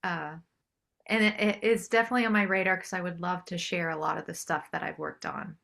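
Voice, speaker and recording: Woman musician chatting in an interview, kinda low and creaky, agreeable and a bit tentative. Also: steady tone, a little fast, a bit bright. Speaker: singer-songwriter being interviewed. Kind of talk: casual interview chat. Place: small home studio.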